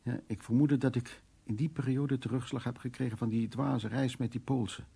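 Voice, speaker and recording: In a radio drama, a brusque male art restorer. His voice is dark, husky and gravelly, and he talks in hesitant, muttered repetitions, often as if to himself. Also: medium pitch, steady tone, moderate pace. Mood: agitated recollection. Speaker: actor in a radio play. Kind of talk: Dutch radio drama acting, dialogue and soliloquy.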